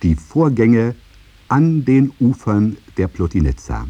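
A man's voice: medium pitch, big pitch swings, slow, warm tone, slightly rough, no echo, lo-fi sound, heavily acted. A man announcing a 1950s radio play in a formal title-reading style, on an old recording.

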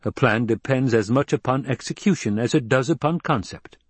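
A male narrator voicing a cool, measured noblewoman who speaks in a dry tone. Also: mid-range voice, husky, no echo, voice pushed up, natural voice.

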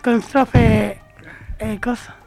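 A woman's voice: on the high side, steady tone, extremely slow, gravelly, a little mellow, faint music behind. An Argentine woman, an adult student, chatting in short, simple, repeated phrases. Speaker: adult literacy student, interview guest. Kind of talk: casual chat. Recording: reverberant room.

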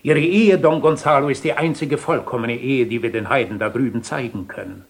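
Inquisitive monk, unctuous, formal, probing, mid pitch, animated intonation, radio play. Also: male voice. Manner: smooth-talking, probing, formal. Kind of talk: German-language radio play acting, 1970.

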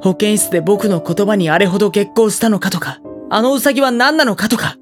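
A woman voicing a gentle, introspective, anguished teenage schoolboy in a Japanese audio drama, speaking softly and hesitantly as he narrates. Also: medium pitch, brisk, faint music behind, close to an everyday voice. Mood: troubled. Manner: soft-spoken, hesitant, brooding. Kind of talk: Japanese audio drama acting with first-person monologue.

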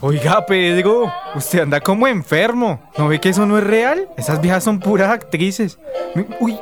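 A man's voice: sits high, animated, normal speed, over music. A man with a Colombian accent playing an old-fashioned, grumbling bachelor in a radio soap, speaking colloquially.

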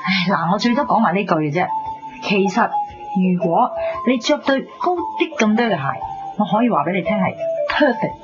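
A woman playing a brash, sharp-tongued young saleswoman in a Hong Kong Cantonese radio drama, chatty, slangy and teasing. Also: mid-range voice, animated, moderate pace, natural voice. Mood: pushy flattery. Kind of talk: 1990s radio drama acting. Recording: muffled 1990s radio, music behind. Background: music.

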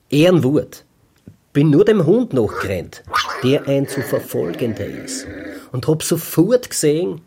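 An actor playing a shifty, husky-voiced petty thief who rambles and pleads his innocence in a radio drama. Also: medium pitch, noisy background. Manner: evasive, pleading, rambling confession.

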